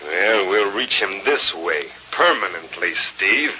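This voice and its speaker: An actor playing a gruff, cocky American gang boss giving slangy, clipped orders in an old radio crime drama. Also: male voice, lowish voice, lively intonation, takes it slowly, sharp tone, full character voice. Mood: cold scheming. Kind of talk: 1930s-40s radio crime drama acting. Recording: dull, noisy old radio recording.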